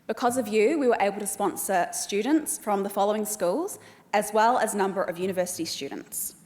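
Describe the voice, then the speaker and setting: A woman with a New Zealand accent delivers a formal welcome address in a measured, careful cadence. Her voice sits at an ordinary middle pitch. It carries with a long reverb through a large hall. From Australia. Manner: measured, reads names slowly and deliberately. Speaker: event host. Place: large hall at an evening event.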